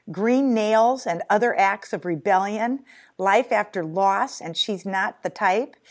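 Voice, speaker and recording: A woman in her sixties hosting a podcast interview, enthusiastic as she introduces her guest. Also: mid-range voice. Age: 60s. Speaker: podcast host.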